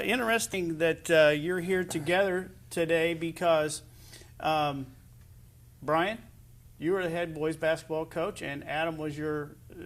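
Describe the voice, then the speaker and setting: American guy hosting a local sports chat, voice a bit on the high side, wordy hesitant questions. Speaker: host of a high school sports interview show. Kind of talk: conversational sports interview.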